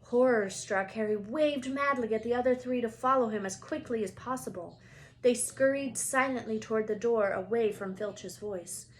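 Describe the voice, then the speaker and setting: Woman reading aloud, slightly high voice. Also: moderate pace, slight background noise. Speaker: read-aloud storyteller. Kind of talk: expressive children's book reading.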